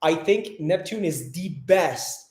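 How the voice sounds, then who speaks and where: A man's voice: high-pitched, expressive, slow pace, darkish tone, echoey room. A young man from French-speaking Canada, whose first language is French, chatting casually with a French Canadian accent on a live travel hangout. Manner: chatty, lots of "like" and restarts. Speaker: travel vlogger, co-host.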